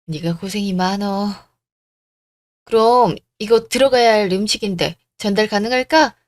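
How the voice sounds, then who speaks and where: A woman plays a gentle middle-aged mother in a radio drama. She speaks hesitantly and caringly, in a close, dry recording. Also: medium pitch, normal speed, no room sound, close to an everyday voice. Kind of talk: Korean radio story drama.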